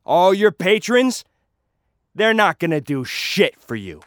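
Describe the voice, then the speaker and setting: A man playing a swaggering young fighter in an audio drama, projecting loud, boastful taunts. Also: sits high, sing-song, takes it slowly, noisy background, voice pushed up, exaggerated voice. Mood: boastful, threatening.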